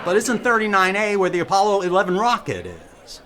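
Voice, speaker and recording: A man playing a fussy, penny-pinching middle-aged comedian in a radio comedy, with an exasperated, drawn-out theatrical delivery. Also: high-pitched, huge pitch swings, over a noisy crowd, heavy background noise. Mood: puzzled. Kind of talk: 1960s radio comedy recreation acting.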